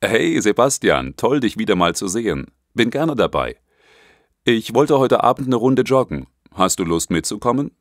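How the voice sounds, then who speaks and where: A man voicing a friendly, eager male consultant in an audiobook, chummy and relaxed with his friend. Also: fairly low pitch, moderate pace, no echo, studio quality.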